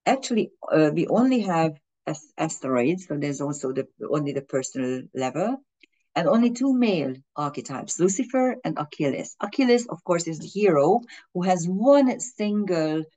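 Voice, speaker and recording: A woman's voice: low voice, lively intonation, normal speed, dark tone, poor audio. Fumbling woman astrologer. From Hungary. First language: Hungarian and German. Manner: fumbling, with frequent restarts and fillers. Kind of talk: explaining an astrology chart in a video.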